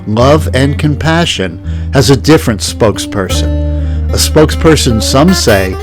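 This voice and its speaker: Mid-pitched male narrator over music. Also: lively intonation, unhurried. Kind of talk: scripted narration. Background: plucked guitar music.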